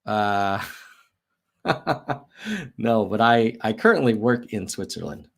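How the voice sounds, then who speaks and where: Slow, lively-voiced American man chatting. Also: lowish voice, gravelly. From United States. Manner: musing aloud, frequent ums and self-corrections; reads viewer chat aloud. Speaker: livestream host. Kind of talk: casual data walkthrough on livestream.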